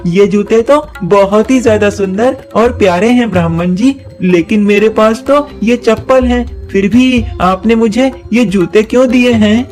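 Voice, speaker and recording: A man performs a poor little orphan boy for a cartoon, earnest and plaintive. The voice is very high, with some music playing behind. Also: measured pace, hardly any pauses, darkish tone. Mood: amazed. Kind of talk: Hindi moral-story cartoon dub.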